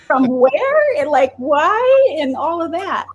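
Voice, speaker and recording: Wisconsin woman host, somewhat high voice, chatty, animated storyteller. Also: takes it slowly, highs cut off. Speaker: podcast host. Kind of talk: casual chat.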